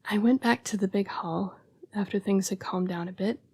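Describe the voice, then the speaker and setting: A woman playing a remorseful, gentle young vampire in an intimate roleplay audio, speaking in a breathy, halting hush. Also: medium pitch. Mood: earnest, apologetic. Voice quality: breathy, whispery. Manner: hushed, halting, earnest and apologetic. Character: remorseful, gentle young female vampire, protective, fighting bloodlust. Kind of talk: roleplay audio acting, intimate dramatic monologue.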